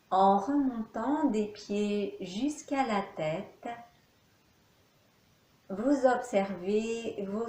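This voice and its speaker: A woman teacher guiding a yoga nidra relaxation session in slow, soft, calm instructions with long pauses. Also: mid-range voice, roomy sound. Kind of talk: guided relaxation.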